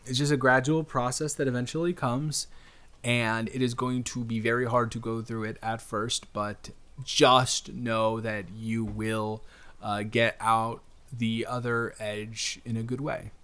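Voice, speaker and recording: A man playing a friendly, flirty stranger in an improvised audio roleplay, talking in a rambling, hesitant, earnest way with gentle teasing. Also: mid-range voice, level tone, unhurried, clear, resonant tone. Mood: earnest, reassuring. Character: cute friendly male stranger at pumpkin patch, wholesome, flirty, reassuring. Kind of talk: improvised intimate audio roleplay spoken to the listener.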